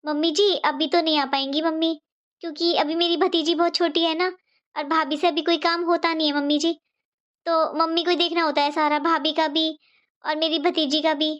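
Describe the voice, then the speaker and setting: A woman voicing a gentle, dutiful young daughter-in-law in an animated family drama, speaking in a polite, soothing, deferential manner. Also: extremely high pitch, a little fast. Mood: polite, explaining.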